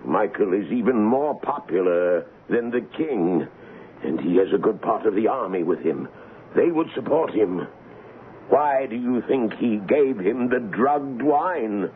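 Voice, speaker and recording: An actor playing a shrewd, commanding royal colonel in a radio drama, speaking with emphatic, persuasive deliberation. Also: male voice, on the low side, lively intonation, measured pace. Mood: urgent, grave. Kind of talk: old-time radio drama acting. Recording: muffled, noisy vintage radio broadcast.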